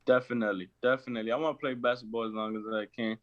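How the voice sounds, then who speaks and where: A young college basketball player from Massachusetts answering interview questions in a halting way, filling pauses with "you know" and "uh". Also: male voice, mid-range voice, little pitch movement, no room sound, muffled recording. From Lawrence, Massachusetts. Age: young adult.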